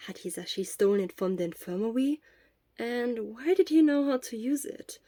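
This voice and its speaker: A woman narrates a story from a script. Her voice sits on the high side, and the recording is dry, with very little room sound. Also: animated, normal speed, mellow, no echo. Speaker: podfic narrator.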